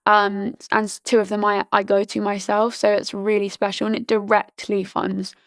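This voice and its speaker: A woman in her early twenties from Britain, chatting casually as a podcast guest, full of "like" fillers and restarts, her voice slightly hoarse. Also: medium pitch, monotone, moderate pace, no room sound, clean studio sound. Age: early 20s. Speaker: podcast guest, charity founder. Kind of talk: casual interview chat.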